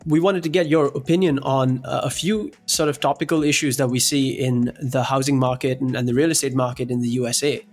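A man hosts the interview at a mid pitch, asking questions in a friendly way and answering with short affirmations. Faint music plays behind him. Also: fairly even intonation, fairly quick, hardly any pauses. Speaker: interview host.

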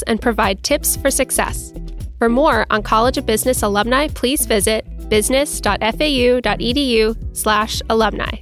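A woman's voice: fairly high pitch, fairly bright tone. Friendly woman podcast host. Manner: friendly, encouraging, affirming. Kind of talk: interview podcast.

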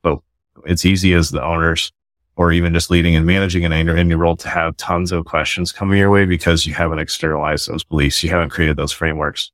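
A man's voice: deep voice, flat delivery, fast talker, no echo, clean studio sound. A man, a guest author and company founder, explaining his ideas earnestly in a casual podcast conversation and often restarting his sentences. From United States.

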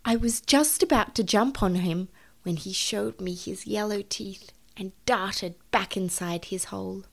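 A woman's voice: mid-range voice, a little slow, vocal fry. A woman voicing a tearful, fretful mother cat in a dramatic storybook reading, with wailing exclamations. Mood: anxious, tearful.

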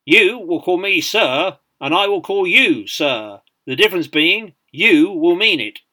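A man voicing a stern, booming British army sergeant major in a dramatised story, bellowing parade-ground commands. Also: expressive, a little slow, pushed and bright, heavily acted.

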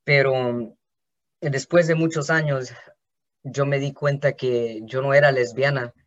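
Slow, hesitant man on a podcast. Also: mid-range voice, fairly even intonation, fairly bright tone, highs cut off. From Los Angeles, California. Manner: slow, hesitant, with many restarts. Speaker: podcast host. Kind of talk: personal monologue.

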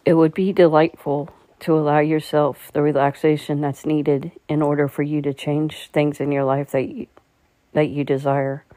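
A woman leading a guided meditation in a calm, measured, soothing manner. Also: deep voice, no echo.

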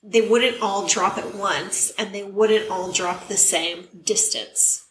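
A woman co-hosting a podcast, losing her voice, telling stories in a chatty, animated way. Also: medium pitch, slow, a little hiss or hum. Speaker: podcast co-host. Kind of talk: casual chat. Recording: echoey room.

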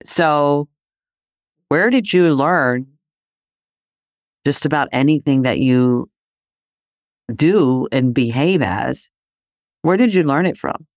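Solo podcast host raised in Greece, reflective and needling, middle pitch, dry close sound. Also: slow pace, pauses often, no room sound. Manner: provocative, poses rhetorical questions; conversational with frequent "you know, right?".

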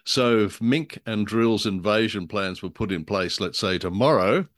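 Man, low pitch, podcast co-host, casual discussion, hesitant wry delivery, very dry sound. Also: unhurried, dry recording. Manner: hesitant, wryly humorous storytelling. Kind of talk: casual discussion of a radio drama.

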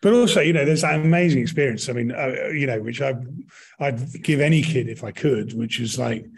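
A man's voice: medium pitch, fairly quick, creaky, a little hiss or hum. A middle-aged British man answering conversationally in an interview, rambling and often rephrasing himself mid-sentence. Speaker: interview guest, touring actor and director.

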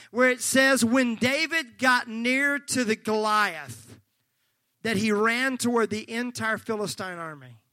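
A male pastor preaching a sermon, fervent and folksy, joking with the congregation and breaking into shouts. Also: very high voice, no echo. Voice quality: slightly gravelly.

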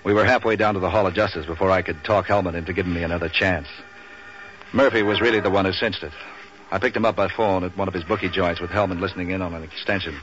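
Low-voiced, deadpan hard-boiled tough guy. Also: fast, soft background music. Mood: tense, deadpan. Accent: American. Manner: deadpan, clipped, sardonic. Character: street-wise, wisecracking hard-boiled waterfront man for hire. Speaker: lead character and narrator. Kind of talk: 1940s radio noir acting and narration. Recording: dull, hissy 1940s radio recording.

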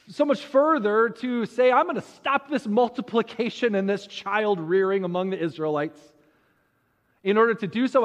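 A man preaching a sermon, telling a Bible story conversationally with dramatic pauses and rhetorical questions. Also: high voice. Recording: muffled audio with long hall reverb.

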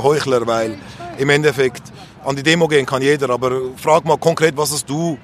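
A young man speaking spontaneously and earnestly in a street interview, giving his opinions insistently. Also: a little high. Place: outdoors on an urban street. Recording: faint urban street and traffic noise.

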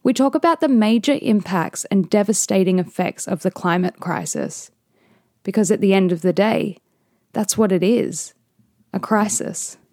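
A woman hosts a casual podcast interview over a video call. Her voice sits at a middle pitch and sounds dry and close, with no room around it. She chats in a friendly way and laughs easily. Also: lively intonation, moderate pace, a little mellow, no echo. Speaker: podcast host.

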